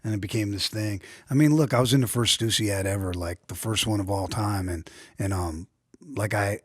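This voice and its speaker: A man's voice: fairly low pitch, fairly quick, no room sound. A man in his fifties with a New York accent, a podcast guest chatting casually and profanely in a small studio. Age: 50s. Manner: laid-back, profane, laughs while telling stories. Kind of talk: casual chat. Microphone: studio mic on boom arm, headphones.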